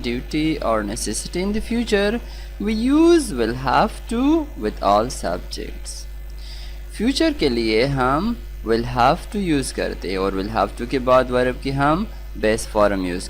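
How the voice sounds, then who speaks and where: Pakistani-accented grammar instructor, mid-pitched, very varied intonation, deliberate enunciation, faint humming noise on the recording. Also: low-quality recording. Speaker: English grammar teacher. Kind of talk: scripted lesson, explaining and reading example sentences.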